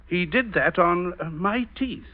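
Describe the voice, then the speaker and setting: Slippery gent in an old radio play, highish voice, swoops around, taunting, muffled. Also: male voice, animated. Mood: insinuating. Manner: deliberate hesitations, mock-polite, sardonic. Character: sly, insinuating gentleman blackmailer, coolly taunting. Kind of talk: 1940s radio acting.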